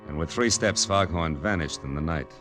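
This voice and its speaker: Wry American private eye, deep voice, clipped delivery, old radio detective drama with light music behind. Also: male voice, normal speed. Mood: wry narration. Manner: wisecracking, dry, clipped. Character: wry, hard-boiled male private detective. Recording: vintage radio drama recording.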